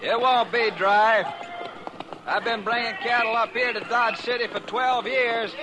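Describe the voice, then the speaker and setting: An actor playing a seasoned, confident trail boss in an old radio western, firm and assured. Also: male voice, very high voice, steady tone, measured pace. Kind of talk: old-time radio drama acting. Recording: very noisy vintage radio, outdoor horse effects.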